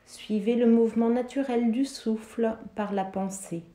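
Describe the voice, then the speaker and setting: A woman guiding a yoga nidra relaxation in a soothing, measured way, with pauses between short phrases. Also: on the high side, steady tone, mellow. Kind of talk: guided relaxation meditation, instructing listeners. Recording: roomy, reverberant sound.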